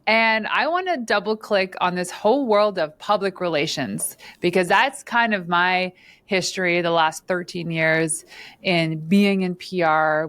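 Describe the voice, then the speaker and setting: A woman from Northern Ontario hosting an interview podcast, chatty and quick to laugh, with plenty of fillers. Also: lowish voice, fairly bright tone, no echo. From Northern Ontario, Canada. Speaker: podcast host. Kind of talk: casual interview chat.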